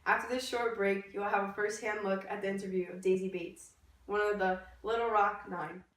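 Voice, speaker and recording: A woman's voice: mid-range voice, fairly even intonation, a little fast, a little hiss or hum. A woman anchors a news programme from a script in a mid-range voice. She is far from the microphone, and the room echoes very strongly around her.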